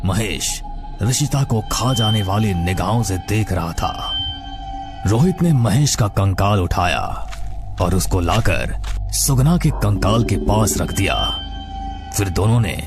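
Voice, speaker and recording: A man narrating an animated horror story in a scripted storytelling style. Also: fairly low pitch, slow pace, music in the background.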